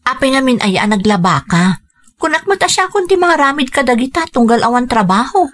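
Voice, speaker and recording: A woman's voice: sits high, sing-song, fairly quick, a bit bright. A woman playing a loving young working wife and mother in a radio drama, earnest and tender. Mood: fond, concerned. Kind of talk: Ilocano radio drama acting.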